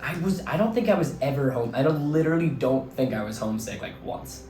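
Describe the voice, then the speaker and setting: A young man from New Jersey with a slightly hoarse voice rambles earnestly. He talks in an easy middle register, piling in "like" and "you know" as he thinks aloud. The room rings with echo and soft music plays faintly underneath. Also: medium pitch, animated, quick pace. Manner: rambling, filler-heavy, earnest. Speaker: vlogger and teacher. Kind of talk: casual reflective monologue.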